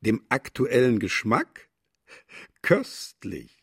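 An actor playing an urbane, courteous theorist in a radio play, with a suave and ironic manner. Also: male voice, medium pitch, huge pitch swings, very slow, creaky, husky, dry recording, clean studio sound, heavily acted. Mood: suave, amused. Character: urbane male theorist, courteous and amused host.

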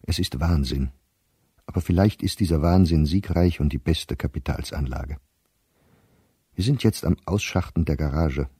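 Male, middle-aged diarist for radio drama: low, husky, anxious yet sober and precise. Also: normal speed, mellow, no room sound, studio quality. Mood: sober, resigned. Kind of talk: dramatic reading of a diary for radio.

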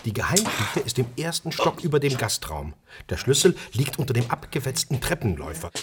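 An actor playing an intense, brooding chef turned amateur sleuth, telling his story with expressive drama in a radio crime play. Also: medium pitch, a little fast, very noisy, close to an everyday voice. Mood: intense, secretive.

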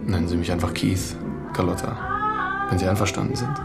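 A man playing a smooth, patronising psychotherapist in a crime radio drama, with a measured, formal and insistent manner. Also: deep voice, over loud music. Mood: polite, concerned. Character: smooth, patronising male psychotherapist, defensive and flirtatious. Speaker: supporting actor.

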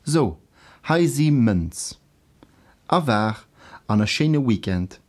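A man presents a scripted language lesson in a mid-range voice with very lively intonation. He is didactic, setting out examples slowly and deliberately for learners. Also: mid-range voice. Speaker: language teacher.

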